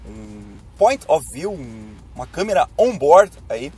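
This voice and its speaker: A young man with a southern Brazilian gaucho accent, a bit hoarse from a cold, chatting to viewers while driving through city traffic in a car. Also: medium pitch, big pitch swings, slow, mellow. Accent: southern Brazilian, Rio Grande do Sul. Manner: chatty, addresses viewers directly. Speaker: YouTuber. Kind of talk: casual driving vlog chat. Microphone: camera mounted on dashboard.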